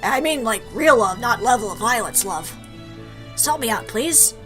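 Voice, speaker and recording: A woman's voice: a little high, lively intonation, normal speed, a bit bright, soft background music. A woman voicing a fierce, blunt female fish warrior from a game who is awkward about love. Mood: earnest. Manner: blunt, brash.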